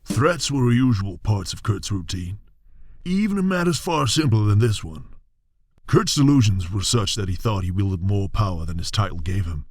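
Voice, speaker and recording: Low-voiced folksy western storyteller. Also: male voice, lively intonation, a little mellow, dry recording. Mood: folksy, wry. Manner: folksy, drawn-out, wry storytelling. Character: folksy old-west storyteller. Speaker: narrator. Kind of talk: scripted western radio serial narration. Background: music behind the voice.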